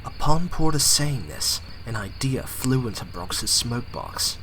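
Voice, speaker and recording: A man's voice: medium pitch, sing-song, measured pace, a bit bright, slight background noise, poor audio. A man narrating an audio drama in a scripted storybook style. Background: music, night insects.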